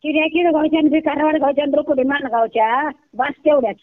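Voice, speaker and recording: High-voiced older rural woman on tinny phone. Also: fairly even intonation, quick pace, no echo. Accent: rural Kolhapur Marathi. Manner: earthy, blunt, colloquial. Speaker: prank call recipient, video creator. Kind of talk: casual phone chat. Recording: narrow, tinny phone line.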